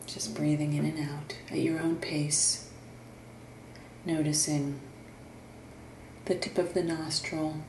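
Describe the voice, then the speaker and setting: A woman leads a guided meditation at a very slow pace, with long pauses between gentle instructions. Her voice is soft-edged and mellow. It is recorded in a roomy, echoing space. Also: sits low, flat delivery. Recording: roomy echo, faint background noise.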